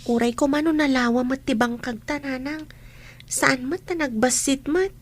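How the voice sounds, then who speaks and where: A woman's voice: sits high, moderate pace, lightly acted. A woman playing a dutiful, hopeful young student daughter in a radio drama.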